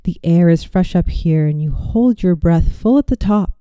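A woman narrating a scripted guided visualization as a meditation guide, in a soothing, gentle and measured way. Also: fairly low pitch, animated, clean tone, no room sound, studio quality.

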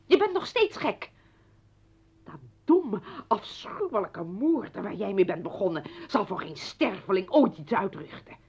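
A woman's voice: medium pitch, sing-song, unhurried, darkish tone, breathy edge, heavily acted. An actress in a radio play as a defiant, indignant mother confronting her estranged husband with biting, accusing sarcasm. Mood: defiant, scathing. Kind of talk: dramatic radio play acting. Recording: dull lo-fi old radio recording.

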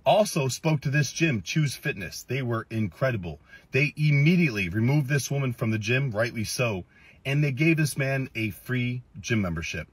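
A man in his thirties speaking to camera in a measured, serious way, giving an update. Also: mid-range voice, animated, husky, no echo, low-quality recording. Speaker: social media commentator. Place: inside a car. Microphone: phone in front of him.

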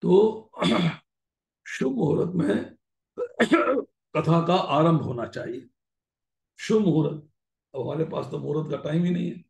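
An older man delivering a devotional talk over a video call, telling stories, clearing his throat now and then and slipping in English phrases. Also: a little high, lively intonation, takes it slowly, pauses often, darkish tone, echoey room. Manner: storytelling teacher, mixing in English phrases, clears throat often. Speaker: spiritual lecturer.

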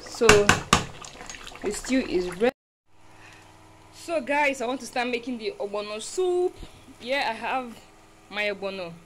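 A woman's voice: a little high, animated, a little slow, pauses often. A young Nigerian woman chats casually and thinks aloud as she films herself cooking on a handheld phone in her home kitchen. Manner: chatty, exclaiming, thinking aloud. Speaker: food vlogger. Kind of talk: casual cooking vlog chat. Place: small home kitchen, indoors. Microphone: handheld phone behind the camera. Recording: noisy phone recording with kitchen cooking sounds. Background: clear cooking noise.

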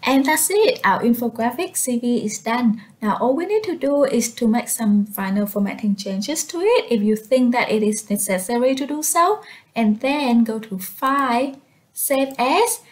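A woman presents a software tutorial in a somewhat high voice. She is a native Vietnamese speaker and explains the task step by step.

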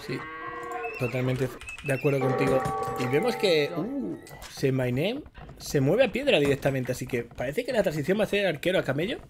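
Brief: young male esports caster, lively analytical commentary, headset mic. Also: on the high side, moderate pace, over music. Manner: analytical, lively, reacts to plays. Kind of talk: live game commentary.

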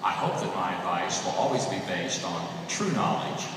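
Low, solemn man on an arena PA, recorded far off in the stands with long echo. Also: moderate pace, background noise. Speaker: officer reciting a ceremony. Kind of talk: formal ceremonial recitation. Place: large arena. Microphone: PA system heard from the stands.

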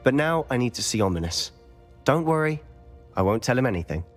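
A curious young wizard student, animated and quick to question. His voice rises and falls freely and carries a wry, teasing edge. Faint music hums under the game dialogue. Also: medium pitch. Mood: earnest. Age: young adult. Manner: lively, quick to question and tease. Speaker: student companion in a video game.

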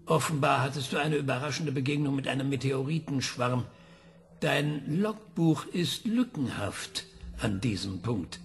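Calm probing scientist, slightly gravelly, radio play. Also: male voice, medium pitch, a little slow. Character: patient male scientist questioning a ship computer.

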